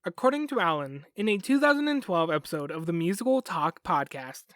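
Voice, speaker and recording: A man's voice: high voice, sing-song, fairly quick, fairly bright tone, dry recording, clean studio sound. A man reading scripted documentary narration as a podcast host.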